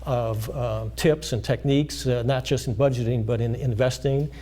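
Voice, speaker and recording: A man with a mellow, dark voice answers interview questions in a school studio. He explains at length in a measured, steady way, pausing often on fillers. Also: medium pitch. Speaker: financial planner guest.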